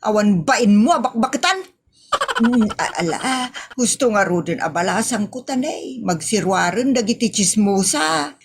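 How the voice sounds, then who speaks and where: A woman's voice: on the high side, lively intonation, moderate pace, a little hiss or hum. A woman playing a quarrelsome, mocking village woman with an Ilocano accent in a comedy audio drama. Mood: mocking, scolding. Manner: taunting, scolding.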